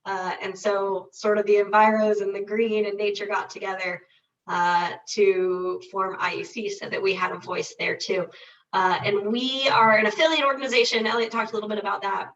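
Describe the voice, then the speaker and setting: A woman's voice: medium pitch, flat delivery, fairly bright tone, echoey room. A woman presenting as a conservation director on a webinar, getting over a cold, with a nasal voice and a casual, friendly way of talking. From Illinois. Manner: casual, friendly, quick asides. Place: home with rural internet. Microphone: computer mic on a video call. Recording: dull distant video call audio.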